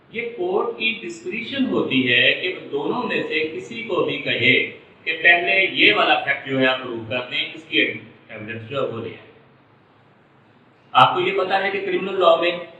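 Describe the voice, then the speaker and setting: Male Pakistani-accented law lecturer, mid-pitched, repetitive step-by-step delivery, dull distant recording with faint noise. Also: sharp tone. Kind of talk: explanatory lecture with worked examples. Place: echoey indoor room. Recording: echoey room, distant mic, muffled, faint noise.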